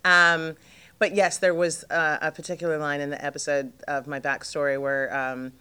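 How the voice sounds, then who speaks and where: Low-voiced jet-lagged woman rambling. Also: flat delivery, normal speed, sharp tone, clear, resonant tone. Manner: rambling, lots of fillers and restarts, laughs often. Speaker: actress interviewed as guest. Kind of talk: casual interview chat.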